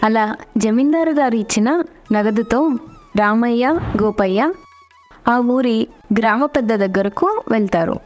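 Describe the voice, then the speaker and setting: A woman's voice: a little high, unhurried, long pauses, muffled recording, faint music behind. A woman narrator reading a scripted story.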